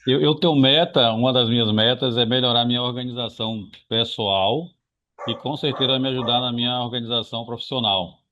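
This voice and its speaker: A man's voice: medium pitch, steady tone, brisk, background noise. A man from Bahia, a student earnestly explaining his work example step by step on a video call. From Bahia, Brazil. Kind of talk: sharing an example in class. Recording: muffled call audio.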